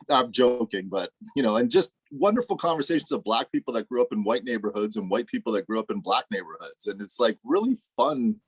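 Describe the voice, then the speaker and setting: A man in a casual group discussion, telling animated, jokey stories and laughing often. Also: medium pitch, fairly quick, breathy edge, no echo.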